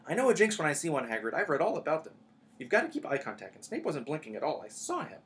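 A man's voice: fairly high pitch, very animated, fast, a bit bright, voice pushed up. A man voicing an earnest, bossy, bookish young schoolgirl witch in a storybook, talking insistently and emphatically. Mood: urgent, insistent.

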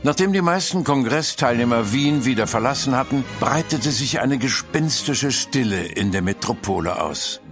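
A man's voice: medium pitch, expressive, moderate pace, hardly any pauses, over music, close to an everyday voice. A man giving formal, scripted dramatic narration as the storyteller of a German audio drama.

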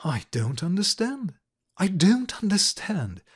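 A man's voice: high voice, big pitch swings, slow, slightly rough, voice pushed up, full character voice. A man reading aloud, voicing an agitated young noblewoman who pleads urgently with a guest.

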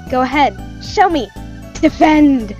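Woman as a chirpy, high-voiced teen girl magician. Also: expressive, slow, darkish tone, lo-fi sound, faint music behind. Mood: breezy, bossy. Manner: chirpy, teasing, showy. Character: breezy, bossy teenage girl stage magician. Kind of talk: improvised comedic video game fandub.

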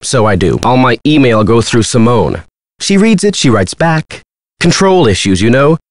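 An actor as a vain, animated movie star. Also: male voice, medium pitch, moderate pace, dry recording, studio quality, lightly acted. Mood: smug. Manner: self-important, showy, glib. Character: vain smooth-talking young male movie star. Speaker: actor character in an adventure game. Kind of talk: video game voice acting.

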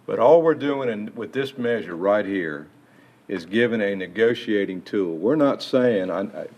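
A man's voice: fairly low pitch, animated, a little slow, darkish tone. A man on a city council, making a rambling, persuasive case during debate into a desk microphone. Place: council chamber.

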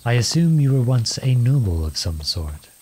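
A man playing a gentle, chivalrous young outlawed prince in a romantic fantasy audio roleplay, speaking in formal storybook phrases. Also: mid-range voice, sing-song, normal speed, a little hiss or hum, lightly acted. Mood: gently curious. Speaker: ASMR roleplay voice actor. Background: horse hooves clip-clopping.

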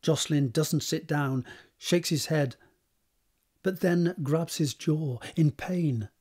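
A man's voice: a little high, slow, darkish tone, husky. A man reading scripted stage directions as the narrator of an audio drama.

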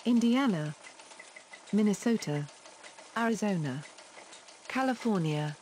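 Female computer alert voice, medium pitch, short isolated announcements. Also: expressive, measured pace, dark tone, a little hiss or hum. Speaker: automated alert voice announcing place names. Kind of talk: clipped one-word announcements.

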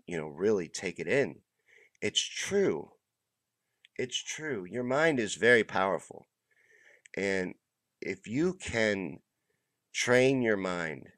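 A man's voice: low-pitched, fairly bright tone, no room sound. A middle-aged man with a stuffy, congested voice, hosting a motivational monologue in a small home room on a close studio mic. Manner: earnest, pep-talk delivery with trailing pauses. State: congested, under the weather. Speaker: host. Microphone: studio mic close to the mouth.

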